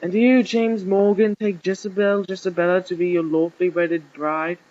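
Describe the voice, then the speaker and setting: A man voicing a formal, declamatory archbishop with a rasp, officiating in a story reading. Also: sits high, little pitch movement, normal speed, a little mellow, lo-fi sound, pitched above the performer's own voice, natural voice. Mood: solemn, declaring. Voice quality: raspy. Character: rasping, ceremonious male archbishop officiating a wedding.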